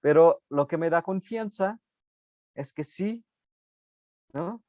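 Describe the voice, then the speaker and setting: A man from India, speaking slowly and haltingly as he searches for words in a reflective spiritual conversation. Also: a little high, a little mellow, no room sound, lo-fi sound. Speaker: Buddhist practitioner guest.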